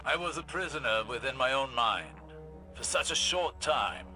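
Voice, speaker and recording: Reflective game warrior, forceful tone, formal, deliberate, world-weary, proud, faint music behind. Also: male voice, mid-range voice, lively intonation. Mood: brooding. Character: weary ancient warlord man, reflective and proud. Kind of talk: dramatic game dialogue.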